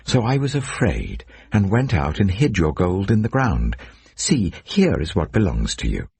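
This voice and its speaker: A man's voice: sits low, huge pitch swings, measured pace, vocal fry, a little mellow, breathy edge, no echo, pitched above the performer's own voice, full character voice. A man voicing an anxious servant who reports to his master in a deferential, defensive manner in a scripture story. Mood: fearful, defensive.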